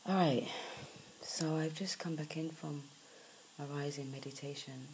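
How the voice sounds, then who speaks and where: Croaky-voiced woman musing softly. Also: low voice, fairly even intonation, slight background noise, low-quality recording. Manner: soft, unhurried, reflective, with many pauses and fillers. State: croaky morning voice. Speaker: spiritual podcaster. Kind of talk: spiritual podcast monologue.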